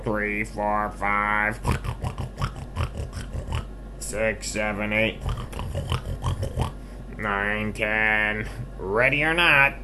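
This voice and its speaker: A man's voice: lowish voice, sharp tone, a little hiss or hum, pitched above the performer's own voice, full character voice. A man voicing a good-natured, agreeable little farm pig in a bedtime story for children, hearty and easygoing. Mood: playful.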